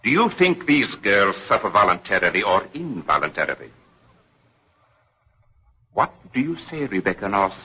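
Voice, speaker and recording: A stern courtroom prosecutor with a low, very rough voice. He presses each question formally and relentlessly, in the manner of old radio drama. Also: very animated, moderate pace, long pauses, bright, forceful tone, heavily acted. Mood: accusing, insistent. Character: stern, relentless male prosecutor at a witch trial.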